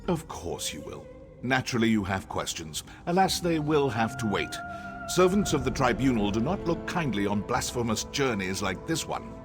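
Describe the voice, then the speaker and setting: A man voices a scheming elven scholar-sorcerer for a fantasy game, with mid-pitched, highly varied intonation. He sounds haughty and sardonic, savouring every riddle in a theatrical manner, over clear background music. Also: sing-song, normal speed, lightly acted. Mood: imperious, wry. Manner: theatrical, condescending, dryly sardonic. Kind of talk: scripted video game dialogue. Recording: music under the voice.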